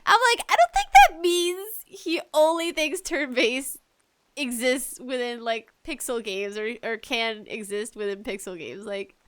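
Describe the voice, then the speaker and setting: A woman hosting a casual gaming podcast, talking solo in a slangy, conversational way with animated asides. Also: a little high, a little slow, slight background noise. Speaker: podcast host.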